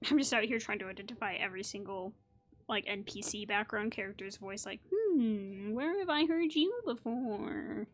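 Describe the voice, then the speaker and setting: A woman's voice: medium pitch, expressive, moderate pace, faint music behind. A young woman giving casual, playful commentary as she plays a video game. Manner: chatty, playful. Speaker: gaming content creator.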